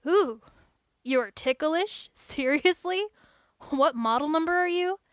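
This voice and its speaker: A woman's voice: fairly high pitch, normal speed, a bit bright, no room sound, studio quality, close to an everyday voice. A woman voicing a chatty, geeky young male robot technician who talks in an eager, rambling, slightly awkward way in audiobook dialogue. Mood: amused.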